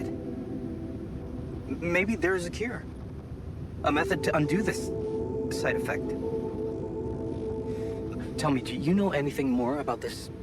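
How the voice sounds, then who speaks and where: A man acting in a video game cutscene as an anxious, earnest scientist colleague, with hesitant, stammering conversational delivery. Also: a little high. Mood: shaken, worried. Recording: music playing behind the voice.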